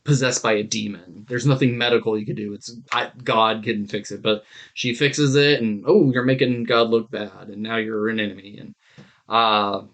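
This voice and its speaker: A man's voice: medium pitch, fairly bright tone. A man co-hosting a podcast in casual chat, telling stories in an irreverent, digressive way with some swearing. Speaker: podcast co-host.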